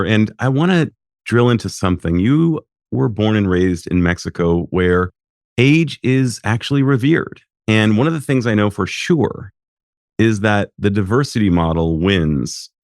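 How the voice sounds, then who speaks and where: A man hosting a podcast, affirming his guest and asking questions in a warm, unhurried way. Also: low voice, dry recording, clean studio sound. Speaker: podcast host. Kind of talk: interview questions.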